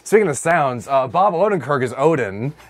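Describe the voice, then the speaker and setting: A man's voice: mid-range voice, big pitch swings, normal speed, slight background noise. A man co-hosting a comedy podcast, chatting casually and riffing in a mock-serious way, often laughing. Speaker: podcast co-host.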